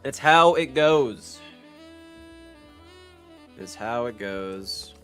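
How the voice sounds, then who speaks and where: A man's voice: medium pitch, expressive, drawn-out words, a bit bright. A young man streaming a game with a stuffy nose, chatting casually, repeating phrases and swearing. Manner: repeats phrases, profane, laid-back. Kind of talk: casual live-stream chat. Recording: faint music behind.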